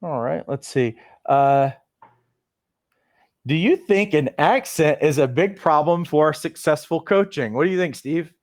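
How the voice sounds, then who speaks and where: Upbeat male webinar host, higher voice, dry close mic. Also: lively intonation, unhurried, darkish tone, no echo. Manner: upbeat, reads out viewer comments. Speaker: host of a coach training webinar. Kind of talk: casual live webinar chat.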